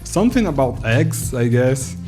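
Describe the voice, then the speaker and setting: A man's voice: mid-range voice, big pitch swings, measured pace, over music. Brazilian man telling a nostalgic story. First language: Portuguese. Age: 30s. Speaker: YouTuber. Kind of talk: scripted retrospective narration.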